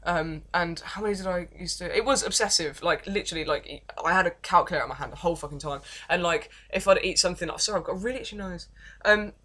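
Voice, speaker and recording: A young woman with an English accent and a deep voice, telling her life story in a rambling, self-deprecating vlog with many false starts. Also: quick pace, sharp tone. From England. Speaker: vlogger. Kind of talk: casual confessional vlog, reading from notes.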